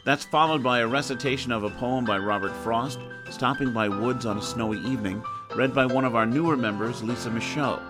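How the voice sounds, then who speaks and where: A man's voice: mid-range voice, a little fast, hardly any pauses. American man hosting over music. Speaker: host and announcer. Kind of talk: scripted podcast introduction.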